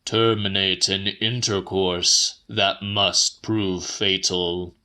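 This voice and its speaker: A grave old gentleman acted by a man, speaking slowly and formally. He is pompous and insistent, with a bright, firm edge to his ponderous audiobook delivery. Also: low-pitched, flat delivery, clean tone, heavily acted. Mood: urgent. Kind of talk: dramatic audiobook reading.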